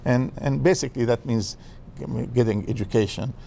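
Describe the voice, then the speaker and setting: Older Afghan guest expert, halting and full of fillers, dark and gravelly voice, faint noise behind. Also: male voice, medium pitch. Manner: hesitant, many fillers and restarts. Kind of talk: TV interview.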